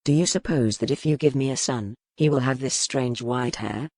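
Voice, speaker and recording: A seasoned medieval duke played by a woman speaks in a deep, lowered register. He is commanding yet gentle, a soldier and lover from a historical romance audiobook, recorded dry. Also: normal speed, dry recording. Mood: tender, musing. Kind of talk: dramatic audiobook character reading.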